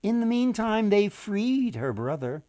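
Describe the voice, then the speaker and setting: An older man reading a children's story expressively, with dramatic pauses, addressing his listeners directly. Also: high-pitched, big pitch swings, mellow, no room sound, clean studio sound. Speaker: author and storyteller.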